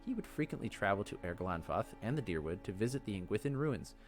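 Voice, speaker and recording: A man's voice: fairly low pitch, sing-song, fast talker, faint music behind. A man reading game lore aloud as narration for a let's play. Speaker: gaming video host.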